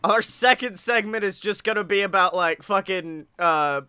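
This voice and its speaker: Young guy podcast host, super bright sharp voice, dry close sound, excitable and chatty. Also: high voice, moderate pace, clean tone, dry recording. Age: young adult. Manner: excitable, hyped-up, playful. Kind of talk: casual chat on a wrestling podcast. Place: small room at home, on a video call.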